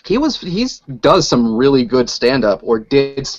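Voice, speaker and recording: A man's voice: mid-range voice, big pitch swings, measured pace. A man co-hosting a radio show in casual, joking chat over an online call. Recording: dull lo-fi online call audio.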